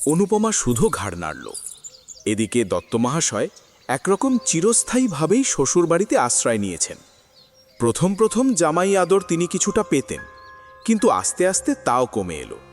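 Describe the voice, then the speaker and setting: A man reads the narration of an audio drama with a lot of movement in his pitch. Light music plays under his voice. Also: huge pitch swings, moderate pace. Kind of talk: scripted narration.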